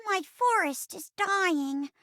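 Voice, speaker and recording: A woman's voice: very high-pitched, animated, takes it slowly, bright, forceful tone, dry recording, clean studio sound, exaggerated voice. A woman voicing a small, earnest, plucky young female forest sprite in a video game, speaking in grand, melodramatic mock-archaic phrases. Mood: earnest, pleading. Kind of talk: theatrical fantasy role-play in a video game.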